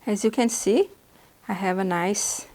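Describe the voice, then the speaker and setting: A woman from Brazil whose first language is Portuguese, speaking with a Brazilian Portuguese accent as she patiently demonstrates drawing supplies in a beginner art lesson, pausing often as she explains. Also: medium pitch, a little mellow. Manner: patient, halting explanations with pauses. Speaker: art instructor.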